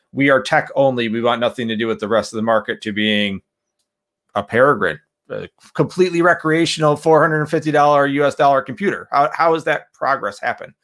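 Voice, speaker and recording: A man's voice: mid-range voice, brisk, a bit bright. A man hosting a podcast, chatting and joking with listeners and rambling into his questions. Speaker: podcast host. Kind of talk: casual interview.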